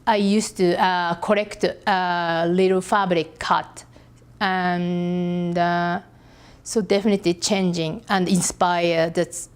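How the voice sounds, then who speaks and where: A middle-aged Japanese woman with a Japanese accent, speaking English as a second language as an artist guest in a podcast interview. She talks haltingly and pauses often to search for words. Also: on the low side, flat delivery, smooth.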